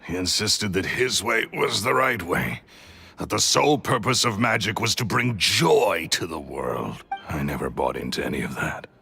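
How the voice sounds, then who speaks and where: A resentful apprentice magician who became a thief in a video game. His voice is gravelly, husky and bright-edged. He swings from low brooding to sharp outbursts, with faint music underneath. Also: lively intonation, moderate pace, exaggerated voice. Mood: defensive. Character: bitter male magician's apprentice turned thief.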